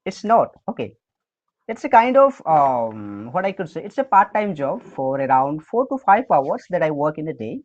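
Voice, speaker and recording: A man's voice: on the high side, dull audio. A man from Odisha, a native Odia speaker, talking about learning English in a casual chat, with an Odia accent and a habit of saying "okay" between thoughts. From Odisha, India. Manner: animated, fills pauses with "okay". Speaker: interview guest, English learner. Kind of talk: casual conversation on a call.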